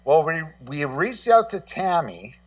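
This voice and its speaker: A man in casual radio conversation, rambling and halting as he repeats words and acts out what other people say. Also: fairly high pitch, big pitch swings, no room sound. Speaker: guest co-host and music documentary maker. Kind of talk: casual radio talk, telling anecdotes. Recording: muffled, slightly distant voice feed.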